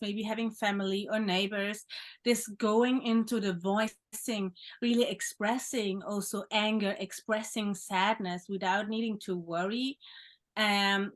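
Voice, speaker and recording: Soft-spoken woman. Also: medium pitch. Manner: reflective, gentle, with occasional soft laughs. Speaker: podcast host, sexological bodyworker. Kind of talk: conversational interview.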